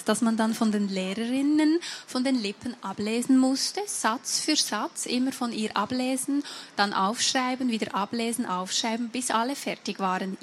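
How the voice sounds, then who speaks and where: A deaf woman from Switzerland with a Swiss German accent, whose first language is sign language. She tells her own story to an audience in measured, deliberate speech with frequent hesitations. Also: fairly high pitch, a little hiss or hum. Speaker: guest speaker at a book fair event.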